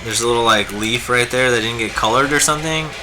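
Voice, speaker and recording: A hoarse, raspy man chatting casually and rambling with lots of fillers while he draws on a live stream. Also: mid-range voice, fast talker. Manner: laid-back, rambling, many fillers. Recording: music playing behind the voice. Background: guitar music.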